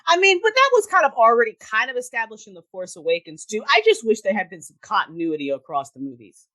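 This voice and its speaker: A woman talking casually as a podcast guest, giving emphatic opinions in an expressive, opinionated way. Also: on the high side, fast. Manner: opinionated, emphatic, acting out mock quotes. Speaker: podcast guest, audio drama creator. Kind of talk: casual chat.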